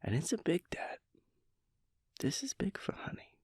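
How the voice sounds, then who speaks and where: A man playing a sleepy, playful boyfriend in an intimate audio roleplay, theatrical and mock-dramatic, with breathy, hushed asides. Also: sits high, huge pitch swings, unhurried, no echo, whispered, studio quality, heavily acted. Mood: playful coaxing.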